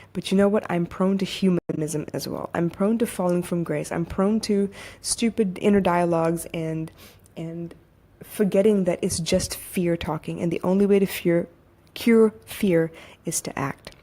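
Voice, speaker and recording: A woman's voice: fairly low pitch, warm tone. A young woman life coach with a soothing voice, giving a blunt, casual motivational monologue on her podcast and swearing freely.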